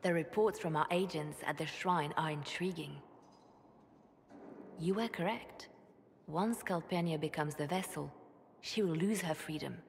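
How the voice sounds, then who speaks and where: An actress playing a calculating female spymaster in a fantasy game, measured and formal. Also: deep voice, creaky, a little hiss or hum. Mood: cool, businesslike. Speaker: voice actor. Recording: long hall reverb.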